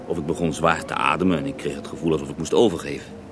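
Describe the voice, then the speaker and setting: A man playing the ghost of a murdered Russian mystic monk in a radio play, recounting his tale in an unhurried, wry, theatrical way. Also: very deep voice, fairly even intonation, noisy background. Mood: tense recounting. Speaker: interviewed storyteller in a radio play. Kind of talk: radio drama acting, intimate first-person recounting.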